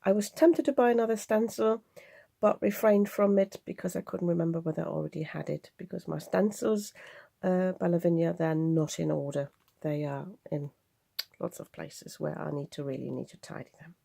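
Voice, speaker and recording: A woman's voice: lowish voice, fairly even intonation, moderate pace, vocal fry, dark tone, no echo. A woman chatting casually and a little hesitantly as she presents craft supplies in a show-and-tell video. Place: indoors at a craft desk.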